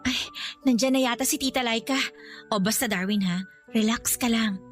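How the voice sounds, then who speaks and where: A woman plays a young Filipino niece in a radio drama. Her voice is highish, sweet and conciliatory, over a soft music bed. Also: moderate pace, natural voice. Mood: reassuring, playful. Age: young adult. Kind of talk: Tagalog radio drama acting.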